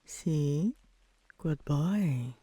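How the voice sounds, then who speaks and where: Teasing, dominant tomboy girlfriend, played by a woman, for ASMR roleplay. Soft dark tone, close and dry, commanding but affectionate. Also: low-pitched, lively intonation, slightly rough, no room sound, heavily acted. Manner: commanding yet coaxing, deliberate, drops into whispers.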